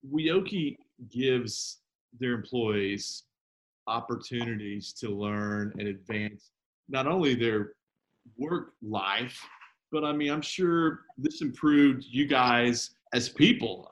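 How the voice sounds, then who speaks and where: Man, host, casual chat, rambling, joking asides, middle pitch.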